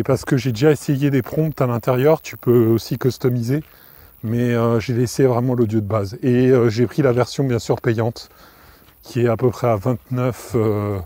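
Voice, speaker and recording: A man's voice: mid-range voice, fairly even intonation, normal speed, dark tone, no echo. A French-speaking man with a cold, talking casually and informally to the listener, with many fillers and restarts. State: has a cold, coughing. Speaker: podcast host.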